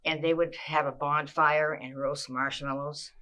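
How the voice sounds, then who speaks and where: An older woman from Massachusetts, recalling her childhood in an oral history interview, with a measured pace and frequent pauses. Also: sits low, little pitch movement, sharp tone.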